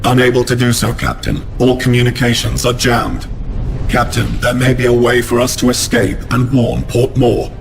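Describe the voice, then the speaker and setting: Creaky, monotone starship officer played by a man. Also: medium pitch, over music, lightly acted. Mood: grave, controlled. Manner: measured, formal. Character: sober, formal starship officer reporting to his captain.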